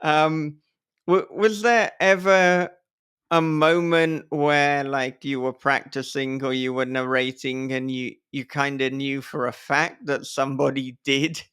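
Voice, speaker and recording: A man hosting a casual, joking interview in a playful, giggly and halting manner. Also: mid-range voice, flat delivery, fairly bright tone, clean tone. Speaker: podcast host.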